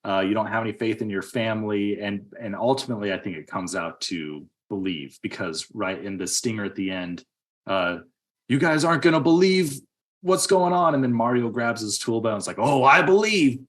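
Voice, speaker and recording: Musing male podcast host, halting delivery. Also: fairly low pitch, expressive. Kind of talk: casual film discussion.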